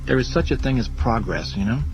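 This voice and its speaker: A man's voice: medium pitch, expressive, fairly quick, close to an everyday voice. A man voicing a smug, scornful younger professor who lectures pompously and emphatically. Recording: dull lo-fi audio under music.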